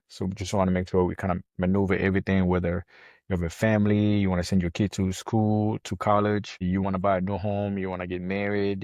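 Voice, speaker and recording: Low, even-toned man. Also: dry recording, studio quality. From France. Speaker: podcast guest, financial planner. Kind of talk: conversational interview answers.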